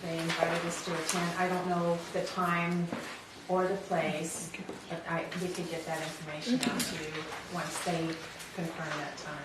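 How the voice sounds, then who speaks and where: A woman's voice: on the low side, flat delivery, normal speed. A woman giving information informally as a participant in a public meeting. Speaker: town meeting participant sharing schedule information. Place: indoor meeting room. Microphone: distant room microphone. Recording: very echoey, distant, loud background noise.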